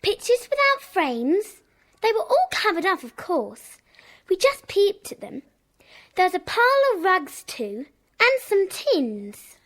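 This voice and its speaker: Eager little schoolgirl sidekick, extremely high and animated, for a children's audio play. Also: slow pace, heavily acted. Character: giggly, eager little girl, the sidekick friend. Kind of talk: children's audio drama acting.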